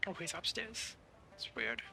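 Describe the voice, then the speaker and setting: A woman's voice: fairly low pitch, sing-song, measured pace, vocal fry, over music. A woman streamer giving casual commentary on a game playthrough.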